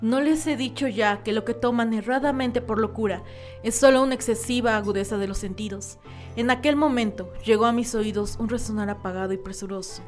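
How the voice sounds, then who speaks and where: A woman's voice: mid-range voice, natural voice. An actress playing a mad, cunning woman murderer in a radio drama, theatrical, insistent and self-justifying. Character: mad, cunning, boastful woman murderer confessing her crime.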